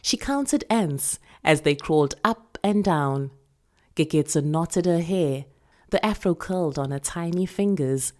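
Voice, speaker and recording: Low-voiced South African woman storyteller. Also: darkish tone. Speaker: actor reading a short story. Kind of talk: expressive literary narration.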